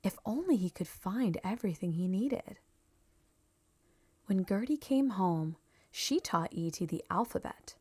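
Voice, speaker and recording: A woman reading a children's storybook aloud in a measured, expressive storytelling manner. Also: medium pitch, slightly rough. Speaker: storybook reader. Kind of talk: scripted children's story narration.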